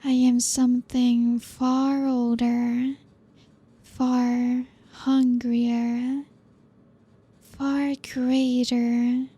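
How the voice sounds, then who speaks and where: Woman voicing a breathy, possessive vampire seductress. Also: on the high side, flat delivery, full character voice. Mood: teasing. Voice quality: breathy, whispery. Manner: slow, teasing, purring, with soft laughs. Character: possessive female vampire, ancient, hungry, seductive. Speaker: ASMR roleplay performer. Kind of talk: ASMR roleplay.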